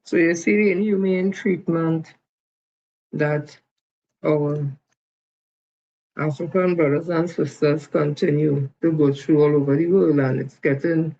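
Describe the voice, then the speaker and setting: A man hosting a live stream, greeting viewers and commenting in a loose, halting way. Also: on the high side, steady tone, gravelly, mellow, muffled recording. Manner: loose, halting delivery with fillers. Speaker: host.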